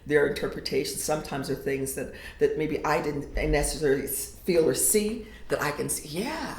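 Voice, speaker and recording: A woman poet reading her verse slowly and dramatically, sinking to whispers at times, then talking casually about her writing. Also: deep voice. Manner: slow, dramatic reading with hushed whispered lines. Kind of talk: poetry reading and casual interview. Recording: echoey room.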